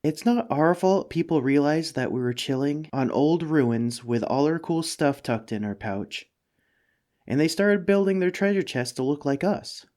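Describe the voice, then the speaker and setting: A man's voice: on the high side, lively intonation, a little slow, a little mellow. A man voicing an anxious, self-deprecating mimic monster living as a talking couch, hesitant and pleading in a comedic ASMR roleplay. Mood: earnest, explaining. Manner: hesitant, pleading, stammering, rambling.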